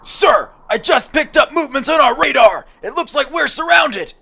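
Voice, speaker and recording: An actor playing a frantic, stammering young soldier in an animated action story, with a shrill, gravelly voice on a noisy home microphone. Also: moderate pace, faint music behind, heavily acted. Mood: panicked. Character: panicky high-voiced young soldier manning the radar. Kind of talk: amateur animated action voice acting.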